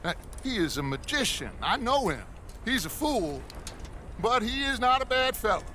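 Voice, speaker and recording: Animated, silver-tongued con man. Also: very high-pitched, normal speed, a little hiss or hum, heavily acted. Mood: cajoling. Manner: florid, ingratiating. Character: silver-tongued, theatrical male con man feigning humble good manners. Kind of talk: dramatic video game acting.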